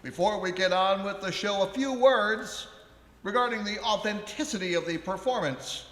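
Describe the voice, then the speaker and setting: A man playing a theatrical 1920s actor who narrates a comic live radio play in a declamatory way with deliberate pauses. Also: sits high, a bit bright. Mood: grand welcoming. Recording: live in echoey ballroom, long reverb.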